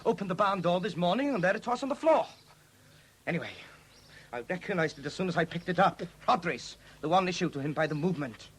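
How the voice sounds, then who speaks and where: An actor playing a hot-tempered, stubborn farmer and nationalist militant in a 1970s radio drama. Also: male voice, sits high, animated, fast talker, husky. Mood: stubborn protest. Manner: blustering, stubborn, emphatic. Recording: hissy 1970s radio broadcast recording.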